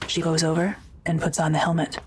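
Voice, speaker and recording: A woman's voice: low voice, monotone, a little fast, background noise. A woman reading scripted, present-tense narration for an audio drama.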